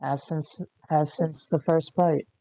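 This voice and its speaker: A man playing an infected widower in a screenplay table read, dreamy and hopeful, with a halting delivery. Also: fairly high pitch, flat delivery, warm tone. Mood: wistful. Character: infected widower, dreamy, clinging to hope, weakening.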